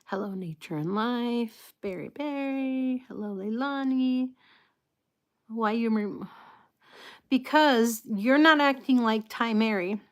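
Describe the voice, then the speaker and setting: A woman from the United States talks casually to her live stream viewers. Her voice sits a bit high and she speaks slowly, with pauses and restarts. Her articulation is slightly impeded, giving her words a careful, halting feel. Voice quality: slightly impeded articulation from a false tooth and past stroke. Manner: halting, unhurried, greeting viewers by name.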